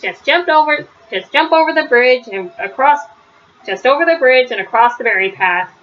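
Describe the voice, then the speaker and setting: Woman voicing a playful, tempting, bright storybook fox. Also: sits high, lively intonation, normal speed, highs cut off. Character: sly, playful fox tempting a friend to play.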